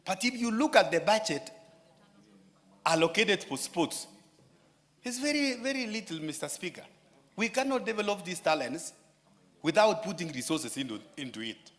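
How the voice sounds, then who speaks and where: Bright-voiced man speaking in parliament. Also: high voice, animated. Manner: addresses the Speaker repeatedly, with many filler pauses. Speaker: member of parliament. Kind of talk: parliamentary floor speech. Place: large parliamentary chamber. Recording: chamber echo with long reverb.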